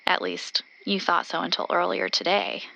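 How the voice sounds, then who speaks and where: A woman's voice: lowish voice, level tone, moderate pace, creaky, sharp tone, exaggerated voice, poor audio. A woman acting as an earnest, observant radio reporter who narrates events into her recorder in an audio drama. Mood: calm, reflective. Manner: descriptive, observant, speaking into a recorder.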